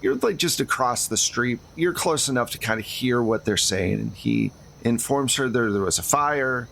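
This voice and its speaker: A man's voice: medium pitch, normal speed, creaky, a bit bright, slight background noise. A man narrating as game master of a tabletop roleplaying game, improvising scenes and talking to his players.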